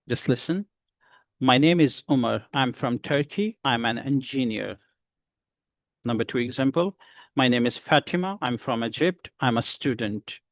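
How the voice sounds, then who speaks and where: A male teacher talks slowly and patiently to beginners, pausing often between short phrases. His voice is gravelly with a lot of fry, and the recording sounds dry and close. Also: mid-range voice, no room sound. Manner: slow, patient explaining with many pauses. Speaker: English teacher. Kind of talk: beginner English lesson.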